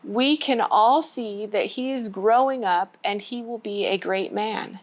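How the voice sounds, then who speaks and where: A woman's voice: mid-range voice, a little slow, lightly acted. A woman reading the part of a loyal young prince and devoted friend in a children's storybook, earnestly. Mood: admiring.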